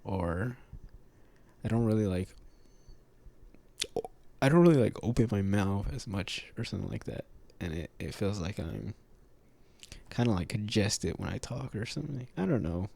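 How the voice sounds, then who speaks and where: A man talking to camera in a casual, reflective monologue, slightly nasal, halting and full of pauses and fillers. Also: fairly low pitch, expressive, vocal fry, warm tone. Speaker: vlogger.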